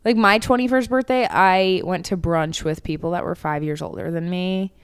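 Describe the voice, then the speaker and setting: A woman's voice: lowish voice, steady tone, moderate pace, clear, resonant tone, no room sound. A woman in her twenties co-hosting a podcast, telling stories candidly, swearing often and laughing a lot. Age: 20s. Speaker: podcast co-host. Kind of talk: casual chat.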